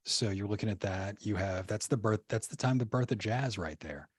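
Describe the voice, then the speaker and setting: A man's voice: on the low side, normal speed, husky, no room sound, studio quality. A man, a podcast guest, telling history in a casual, rambling way full of fillers and restarts. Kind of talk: casual conversational storytelling.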